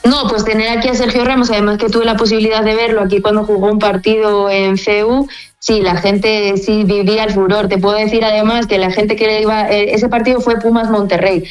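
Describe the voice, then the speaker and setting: A woman's voice: mid-range voice, flat delivery, brisk, soft background music, rough recording. A woman sports journalist from Spain whose Spanish accent has a Mexican tinge, chatting casually with many fillers and restarts. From Spain (Gijón). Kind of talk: casual phone-in chat.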